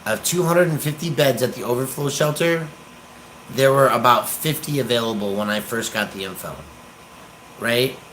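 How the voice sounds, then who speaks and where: An American man rambling casually on a livestream, hesitant and pausing often as he talks. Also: medium pitch, fairly bright tone, slight background noise, low-quality recording. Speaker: livestream host, activist.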